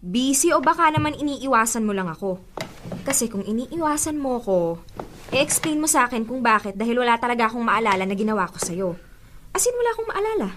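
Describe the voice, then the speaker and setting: A woman's voice: a little high, very animated, a little fast, a bit bright. A woman with a Filipino accent acting a direct, questioning young woman in a radio drama, with faint background noise. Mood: reproachful. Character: questioning young woman, hurt close friend.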